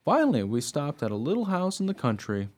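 A man's voice: a little high, fairly quick, a little hiss or hum. A man playing a friendly, chatty young-hearted dog who tells his own life story earnestly in a radio play. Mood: wry, reflective. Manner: earnest, excitable, naive storytelling. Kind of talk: scripted radio play narration.

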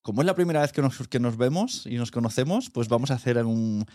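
A man's voice: mid-range voice, animated, fast, a little mellow, no echo, studio quality. Spanish man hosting a casual podcast. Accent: Spain. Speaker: podcast host.

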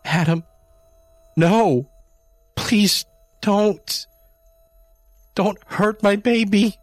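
A man's voice: high voice, drawn-out words, no room sound, faint music behind, pitched above the performer's own voice. A man voicing a frantic, grieving woman who pleads and screeches over her kitten. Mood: pleading.

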